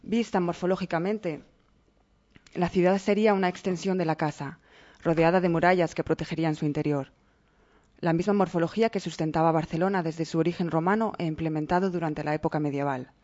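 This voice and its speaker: A narrator reading a scripted documentary in an even, measured way. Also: mid-range voice, lo-fi sound.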